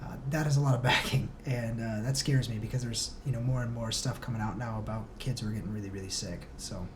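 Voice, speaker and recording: A man's voice: lowish voice, steady tone, fast, background noise. A man talking casually as a podcast guest, earnest and reflective, feeling his way through his phrasing. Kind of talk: casual conversational interview talk.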